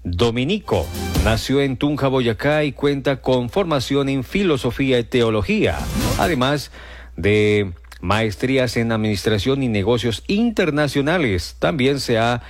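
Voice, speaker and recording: A man's voice: mid-range voice, lively intonation, a little slow. A man from Colombia hosting morning radio news, reading bulletins with some slips and restarts and repeating the time. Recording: music bed behind the voice. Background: music.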